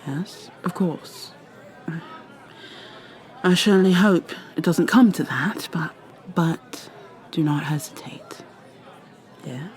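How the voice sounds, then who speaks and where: A woman playing an ancient vampire mermaid in an ASMR roleplay, whispering softly and haltingly with a wistful manner. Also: on the low side, a faint crowd. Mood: tender, urgent. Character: ancient female vampire mermaid, guarded and sorrowful.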